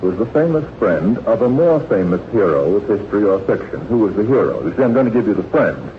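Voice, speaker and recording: A man's voice: lowish voice, sing-song, quick pace, phone-quality sound, slight background noise. A man hosting a 1940s radio quiz in a witty, urbane, teasing manner, on an old radio recording. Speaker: quiz show host.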